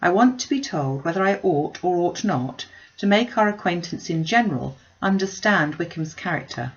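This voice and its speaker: A woman's voice: fairly low pitch, expressive, normal speed, highs cut off. A woman voicing a witty, self-possessed young lady in a classic novel reading, wry, articulate and emphatic. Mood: serious, decided.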